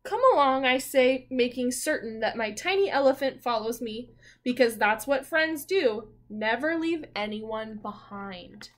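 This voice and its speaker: A woman in her twenties, a teacher reading a picture book aloud and asking children simple questions with pauses for answers. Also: on the high side. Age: 20s. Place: small room at home, indoors.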